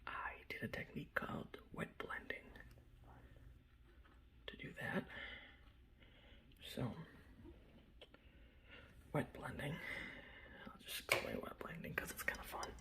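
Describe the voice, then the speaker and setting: A man softly whispering in a casual, rambling ASMR style, breathy and close to the microphone, showing off a hobby. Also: slow pace, pauses often. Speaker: ASMR video host. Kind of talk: ASMR, informal hobby show-and-tell.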